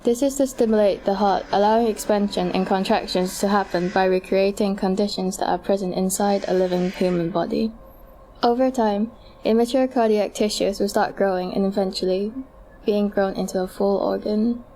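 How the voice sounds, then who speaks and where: A woman's voice: medium pitch, fairly even intonation, normal speed, dark tone, a little hiss or hum, natural voice. A woman playing a polite clinic doctor who explains treatment options in a scripted amateur audio drama. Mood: polite, explanatory.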